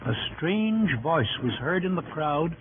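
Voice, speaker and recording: A man's voice: a little high, expressive, measured pace, noisy background. A man narrating a vintage radio drama from a script, with formal, dramatic phrasing. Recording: tinny old radio broadcast, music behind.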